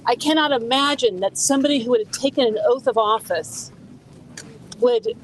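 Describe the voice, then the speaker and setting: A woman's voice: high voice, sing-song, normal speed, slight background noise, low-quality recording. A woman speaking earnestly and indignantly at an outdoor press conference. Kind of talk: press statement. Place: outdoors on a city street.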